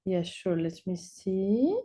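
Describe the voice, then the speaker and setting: A woman's voice: medium pitch, very animated, takes it slowly, mellow. A woman from Morocco, not a native English speaker, presenting as a doctor and answering questions with enthusiasm. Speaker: doctor presenting at a medical webinar.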